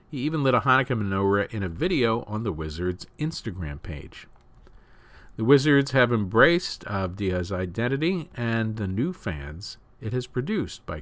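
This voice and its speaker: A man's voice: lowish voice, fairly bright tone, no echo. A man reading scripted news stories as the host of a news program.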